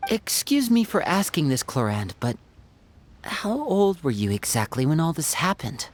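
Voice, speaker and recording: A woman's voice: deep voice, sing-song, normal speed. An actress playing a polite young woman in a video game, asking questions in a tentative, concerned way. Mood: hesitant, doubtful. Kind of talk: scripted game dialogue.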